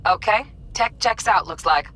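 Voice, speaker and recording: An actress playing a curt, cautious, bookish hacker in a video game. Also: female voice, medium pitch, lively intonation, normal speed, a bit bright. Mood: brisk, dry. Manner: curt, no-nonsense.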